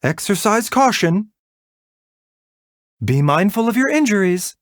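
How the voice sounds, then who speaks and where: A man voicing a gentle, refined video game doctor who is polite, soft-spoken, caring and a little wry. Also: high-pitched, very animated, no echo, studio quality. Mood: composed. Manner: measured, soft-spoken, polite, lightly teasing. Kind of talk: video game character voice lines.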